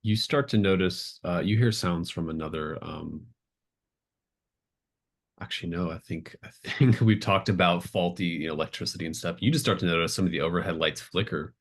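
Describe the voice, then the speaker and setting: A man's voice: low-pitched, moderate pace. A man narrating a tabletop game as game master, improvising in a casual, hesitant, thinking-aloud way. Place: remote online group call.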